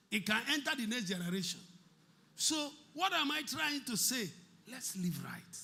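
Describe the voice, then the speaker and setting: A man preaching to his congregation in a strikingly high voice. His tone is very bright and sharp. He repeats his points and keeps asking the listeners questions. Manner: conversational preaching with repeated phrases and rhetorical questions. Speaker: pastor. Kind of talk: sermon.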